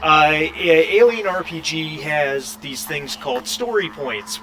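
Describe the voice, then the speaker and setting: A man's voice: mid-range voice, pushed and bright, a little hiss or hum. A man hosting a tabletop roleplaying game as game master, talking casually in an encouraging, explanatory way.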